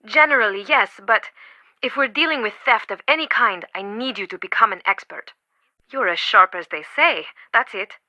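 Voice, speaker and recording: A woman's voice: on the high side, animated, normal speed, pushed and bright, no room sound, lo-fi sound. An actress playing an earnest, devoted and easily affronted woman museum curator in a video game, with dramatic, emphatic delivery. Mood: grave, urgent.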